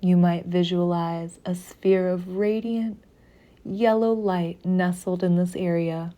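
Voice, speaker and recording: A woman guiding a meditation in a soothing, measured narration with long pauses between phrases. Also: on the low side, fairly even intonation, dull audio. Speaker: meditation guide. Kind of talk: slow guided meditation narration.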